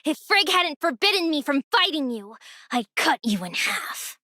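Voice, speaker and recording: A woman's voice: high voice, sing-song, a little slow, sharp tone, no room sound, studio quality, full character voice. A woman playing a hot-tempered, boastful self-styled angel girl in an anime game, petulant and theatrical. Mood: indignant. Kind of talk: scripted anime game dialogue.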